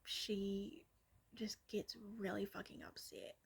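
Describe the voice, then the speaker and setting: A young woman whispers a long story, her voice soft and airy with a husky edge. She talks slowly and drifts along, leaning on fillers as she goes, at an everyday middle pitch. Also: little pitch movement. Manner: rambling, many fillers like "like".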